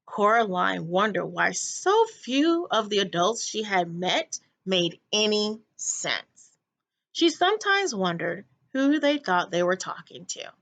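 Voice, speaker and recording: A woman teacher reading a children's story aloud in an expressive narrating style. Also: medium pitch, slow, gravelly.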